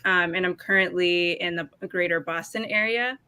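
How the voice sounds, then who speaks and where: Woman from Ecuador, panelist, bright and upbeat. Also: lowish voice, normal speed, smooth, no room sound. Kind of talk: self-introduction on a panel.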